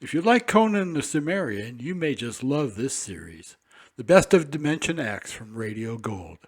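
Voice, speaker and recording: A man announcing with a smooth, even, scripted delivery. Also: mid-range voice, normal speed. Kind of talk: scripted promo.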